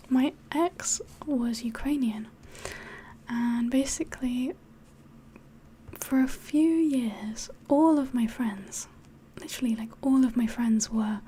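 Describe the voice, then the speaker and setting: A woman with a slightly mixed English accent whispers softly in a rambling, hesitant way, as if hosting an ASMR livestream chat. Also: a little high, slight background noise. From England. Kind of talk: soft-spoken ASMR chat, mostly whispered.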